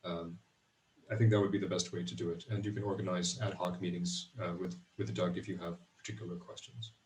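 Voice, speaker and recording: Low-voiced guy explaining calmly, echoey room, sits back from the mic. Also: brisk. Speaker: project team member. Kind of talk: online meeting explanation. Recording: echoey room, far from mic, faint noise.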